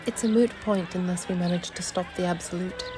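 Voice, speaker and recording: A woman voicing a calm, supportive adventurer in an amateur voice-over of fantasy game dialogue, in a plain manner. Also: lowish voice, a little fast, lightly acted. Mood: reassuring. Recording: loud game music behind.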